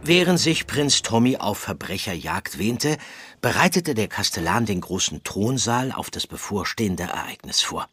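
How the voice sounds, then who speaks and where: A man's voice: lowish voice, sing-song, normal speed, fairly bright tone. A man narrating a children's audio drama as a storyteller, in scripted storybook style. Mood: storytelling. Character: storybook narrator telling a children's ghost tale.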